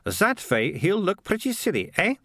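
A man's voice: high-pitched, huge pitch swings, moderate pace, a bit bright, no room sound, pitched above the performer's own voice, heavily acted. A bossy, dramatic girl, sure she knows best, in a voice pushed far above a man's own, as a male reader puts her on. She speaks with theatrical flair and swoops widely in a storybook. The sound is dry and close. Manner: theatrical, self-assured. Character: Malicia, a bossy, dramatic, know-it-all girl.

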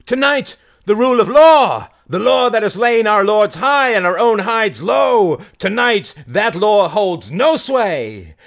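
A man's voice: high voice, very animated, slow, vocal fry, few pauses, pitched above the performer's own voice, full character voice. A man voicing a fiery, defiant rebel woman who preaches to rouse a mob in declamatory audiobook oratory. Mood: fervent, defiant. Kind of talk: audiobook character acting.

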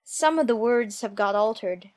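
A man's voice: high-pitched, lively intonation, moderate pace, voice pushed up. A man voicing a polite, timid, easily flustered young girl in a storybook, speaking earnestly and plaintively. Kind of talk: storybook character voice.

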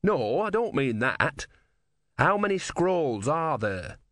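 A man's voice: fairly high pitch, very animated, takes it slowly, fairly bright tone, no echo, pitched above the performer's own voice, full character voice. An earnest young novice priest played by a male narrator in a storybook reading. He speaks plainly and hesitantly, his voice raised a little and moving freely, in a very dry recording. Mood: anxious, insistent.

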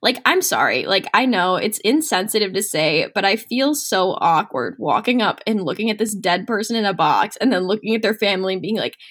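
A woman's voice: medium pitch, very animated, fairly quick, few pauses, a bit bright, breathy edge. A young woman hosting a casual podcast chat, joking and swearing freely. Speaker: podcast host.

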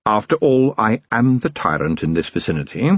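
A man's voice: lowish voice, sing-song, normal speed, no echo, dull audio. A man voicing a cold, ironic middle-aged city tyrant who speaks in a dry, precise, sardonic way.

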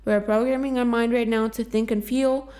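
A woman's voice: a little high, steady tone, normal speed, mellow. Woman, Greek native speaker, self-help podcast host, earnest, encouraging, repetitive.

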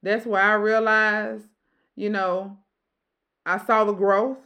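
A woman in her forties with a deep voice, hosting a casual podcast chat and preaching in an emphatic, repeating way. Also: level tone, slow, sharp tone. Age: 40s. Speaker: podcast host.

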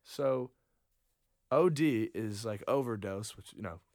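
A young man from New York City co-hosting a casual chat, full of quick quips and teasing asides. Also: fairly low pitch, expressive, gravelly, a little mellow, no room sound, clean studio sound. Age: young adult. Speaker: podcast co-host, college student.

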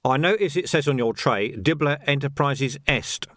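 A man's voice: mid-range voice, moderate pace, a bit bright, no room sound, voice pushed up, close to an everyday voice. A man voicing a wry male city watch sergeant with a dry, mock-innocent manner, in an audiobook story. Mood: probing.

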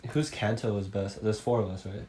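A man's voice: lowish voice, steady tone, brisk. A young man chatting casually with friends as a podcast host, in a giggly, slangy way. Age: young adult. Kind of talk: casual group chat. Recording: roomy, distant mic, loud background noise.

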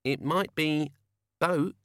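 A man's voice: on the high side, slow, fairly bright tone, no echo, studio quality, voice pushed up. A man voicing a flustered, nervous older male wizard scholar who stammers and hesitates.

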